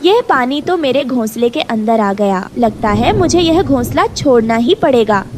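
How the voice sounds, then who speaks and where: A woman's voice: fairly high pitch, lively intonation, normal speed, background noise, close to an everyday voice. A woman playing a worried, weary expectant mother bird in a cartoon story, polite, pleading and fretful. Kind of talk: animated moral story dub.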